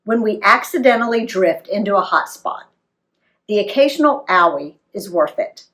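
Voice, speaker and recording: Woman, American accent, memoir read-aloud, expressive storyteller delivery, animated, dramatic. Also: mid-range voice, moderate pace. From United States. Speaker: author reading a travel memoir aloud. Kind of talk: expressive scripted storytelling for young readers.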